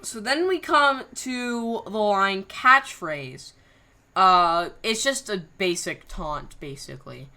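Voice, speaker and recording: A woman's voice: mid-range voice, expressive, takes it slowly, sharp tone. A woman hosting her channel, chatting casually through scrapped voice lines, rambling with slang exclamations. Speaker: channel host.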